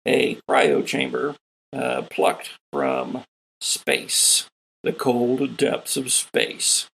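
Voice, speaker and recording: A man narrates a tabletop sci-fi game at an unhurried pace. His voice is heavily creaky and husky, and he describes things deliberately, pausing as he goes. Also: medium pitch, big pitch swings, a bit bright, rough recording. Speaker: game master.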